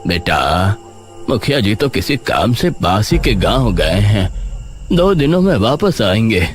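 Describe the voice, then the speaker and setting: A man voicing a calm, resigned older village uncle in a horror story. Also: fairly low pitch, unhurried, faint music behind.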